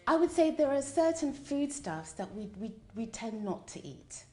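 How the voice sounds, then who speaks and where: A woman's voice: sits high, sing-song, normal speed, a little mellow, faint music behind. A woman explaining as an expert guest in an interview, emphasising points by repetition and checking in as she goes.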